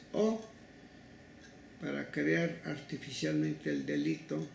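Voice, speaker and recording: An older man with a Mexican accent, recounting past cases in an interview in a slow, halting, deliberate way. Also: fairly high pitch, creaky, a little mellow. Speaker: interviewee, human rights legal advocate. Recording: echoey room with faint noise.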